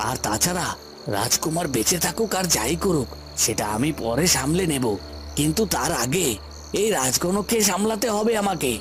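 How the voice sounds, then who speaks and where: A man's voice: fairly high pitch, expressive, moderate pace, background noise, natural voice. A man playing a scheming, deceitful villain in a fairy-tale cartoon, with a theatrical, self-questioning delivery. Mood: alarmed, scheming.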